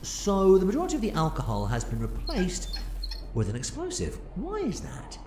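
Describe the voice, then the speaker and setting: An actor playing an eccentric, quick-witted alien doctor in an audio drama, with a theatrical, wry manner. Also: male voice, high-pitched, huge pitch swings, mellow, a little hiss or hum. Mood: grave, cautious. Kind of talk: full-cast audio drama acting. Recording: roomy sound with music behind.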